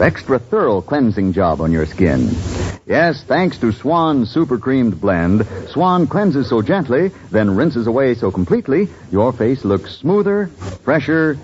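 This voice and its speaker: Animated male 1940s radio commercial announcer. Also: mid-range voice. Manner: persuasive, emphatic. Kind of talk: 1940s radio advertisement, polished sales pitch. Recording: dull, slightly noisy old radio recording.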